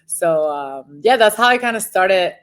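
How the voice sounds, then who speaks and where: A woman's voice: medium pitch, animated, unhurried. A woman from Venezuela in her thirties, a former pro volleyball player telling her story in a casual interview, with frequent ums and restarts.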